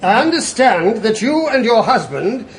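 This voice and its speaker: A fussy British prosecuting counsel in a radio play. His voice sits extremely high for a man and rises and falls in lively, formal phrases. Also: moderate pace, slight background noise, heavily acted, poor audio. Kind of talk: British courtroom radio drama.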